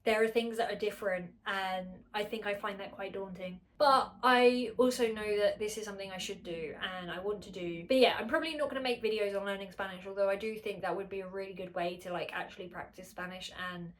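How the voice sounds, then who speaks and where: A woman's voice: mid-range voice, brisk, few pauses. A woman with a slightly nasal, congested voice, chatting casually to camera at home, rambling, filling her talk with "like" and correcting herself. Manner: rambling, with many filler "like"s and self-corrections. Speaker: vlogger. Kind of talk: casual unscripted chat to camera.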